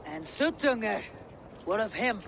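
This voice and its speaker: An actor playing a stern, cunning warrior god in a fantasy video game, speaking in a formal, authoritative manner. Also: male voice, sits high, very animated, slow, gravelly, background noise, full character voice. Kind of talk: dramatic fantasy game acting.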